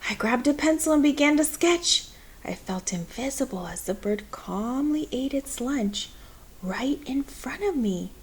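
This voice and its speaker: A woman reading a children's picture book aloud in a measured, expressive storytelling manner. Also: high-pitched, husky.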